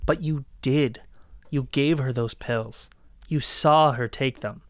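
A man acting a vengeful, obsessive young captor in a dark dramatic podcast reading, speaking in an accusing, deliberate way. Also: mid-range voice, no room sound, close to an everyday voice.

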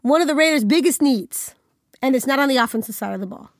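A woman's voice: sits high, animated, fast, dry recording, clean studio sound. A woman in her thirties co-hosting a sports podcast, upbeat and chatty, slightly nasal from a cold. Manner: upbeat, chatty, laughs easily. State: has a cold. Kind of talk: casual sports chat.

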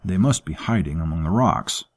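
A cruel cult master issues clipped, cold orders, as a male narrator voices him. His voice sits low and hisses with menace. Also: expressive, normal speed, husky, exaggerated voice. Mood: commanding.

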